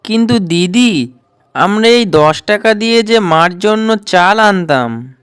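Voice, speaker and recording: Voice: mid-range voice, animated, takes it slowly, fairly bright tone, clean tone, no echo, clean studio sound. A performer voicing an earnest, eager young village boy in a cartoon, with simple childlike delivery. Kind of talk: cartoon dialogue.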